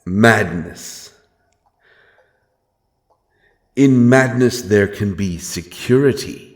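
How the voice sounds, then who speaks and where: A man playing a stern, menacing but honourable monster hunter in a dark fantasy audio drama, speaking in solemn, archaic phrases. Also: fairly low pitch, takes it slowly. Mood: impatient. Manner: archaic, solemn, threatening speech. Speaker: audio roleplay performer. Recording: music behind the voice.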